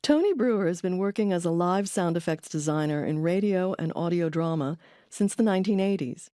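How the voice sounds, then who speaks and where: Low, mellow woman narrating a documentary. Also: expressive, brisk, dry recording, studio quality. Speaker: documentary host.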